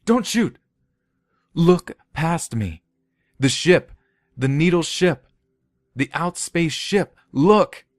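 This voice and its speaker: A man's voice: a little high, lively intonation, slow pace, pauses often, no room sound, pitched above the performer's own voice. A man voicing a desperate, frightened man pleading for his life in an urgent, shaky way, in a story reading.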